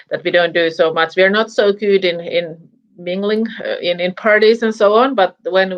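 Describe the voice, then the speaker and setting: A woman's voice: lowish voice, lo-fi sound. A woman with a Finnish accent, a guest entrepreneur speaking English in a casual video-call interview, hesitant with many restarts and repeated words. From Finland.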